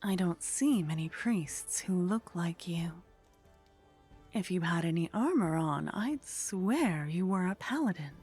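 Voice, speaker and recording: A woman playing a haughty, flirtatious vampire in an intimate ASMR roleplay, speaking softly and close to the microphone with a teasing, condescending manner that often drops toward a whisper. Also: on the low side, expressive, measured pace, soft background music. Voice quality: soft, breathy.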